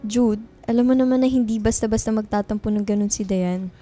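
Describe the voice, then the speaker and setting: A woman's voice: mid-range voice, a little fast, mellow, faint music behind, natural voice. An actress playing a young woman who is a loyal, guarded best friend, casual and reassuring, in a radio drama. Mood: concerned, guarded. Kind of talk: radio drama acting in casual Filipino talk.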